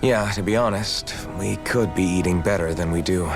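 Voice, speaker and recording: A man playing a steady, supportive young swordsman in a video game, plain-spoken and reassuring. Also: deep voice, normal speed. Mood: easygoing, sincere. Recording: music in background. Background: background music.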